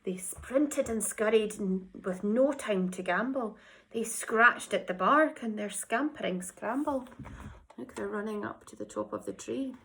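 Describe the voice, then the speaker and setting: A woman reading a picture book to children with expressive, dramatic emphasis and chatty asides. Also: medium pitch, measured pace, vocal fry, low-quality recording. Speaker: teacher reading a story to children.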